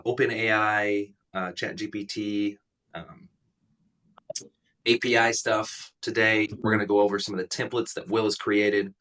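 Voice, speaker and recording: A man in his thirties hosts a casual livestream, his lowish voice raspy and stuffed up from allergies, though still bright in tone. He rambles along and makes jokes at his own expense. He sits a little back from the microphone. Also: far from the mic. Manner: rambling, self-deprecating joking. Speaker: livestream host.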